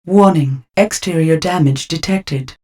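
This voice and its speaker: A woman's voice: deep voice, a little slow, warm tone. A woman voicing an emotionless onboard computer giving clipped alerts in a video game. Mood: impassive. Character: impassive female onboard computer warning system.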